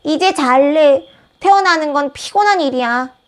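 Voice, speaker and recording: A woman's voice: high-pitched, normal speed, voice pushed up, close to an everyday voice. A woman voicing a small, eager child in a storybook, with short calls in a raised voice. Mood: sleepy. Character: small child just born, eager and plain-spoken.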